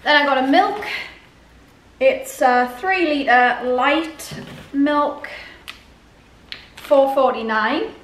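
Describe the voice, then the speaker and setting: High-pitched woman chatting in an echoey room. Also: drawn-out words, pauses often, a bit bright. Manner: chatty, often questioning herself aloud. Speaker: vlogger showing a grocery haul. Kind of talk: casual chatty haul commentary to camera. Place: indoors in a home dining room. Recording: echoey room, clear background noise.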